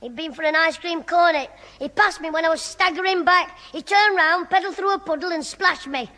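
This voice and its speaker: A man's voice: extremely high pitch, a little fast, bright, forceful tone, full character voice. A man playing a cheeky, mischievous Northern English schoolboy who wisecracks his way through a 1960s radio sitcom. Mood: cheeky, gleeful. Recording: old hissy, reverberant 1960s radio recording.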